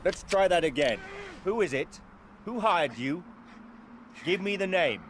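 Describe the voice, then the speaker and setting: A man's voice: high voice, animated, unhurried, fairly bright tone, slight background noise. A man with a British accent playing a cool, commanding secret agent in a video game, speaking in clipped, controlled phrases. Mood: menacing. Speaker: actor in a spy action game. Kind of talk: cinematic video game acting.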